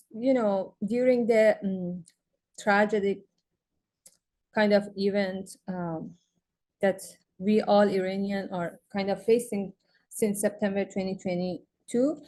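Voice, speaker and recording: A young woman with a Persian accent presents her art at a symposium, speaking slowly in a mellow, dark tone. She reads from prepared text but often stumbles into fillers and restarts. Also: mid-range voice. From Iran. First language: Farsi. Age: young adult. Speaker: graduate student artist presenting.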